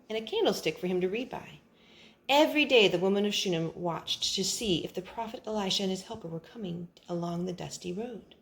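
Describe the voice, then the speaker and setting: A woman reads a children's Bible story aloud with very lively, swooping intonation. Her pitch is average for a woman, and she shapes phrases deliberately, stretching out key words.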